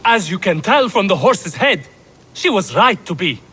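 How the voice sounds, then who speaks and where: Game merchant: anxious, grateful man, raised husky voice, forceful edge, emphatic delivery. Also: high-pitched, sing-song, moderate pace, heavily acted. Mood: anxious, bewildered. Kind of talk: video game cutscene acting.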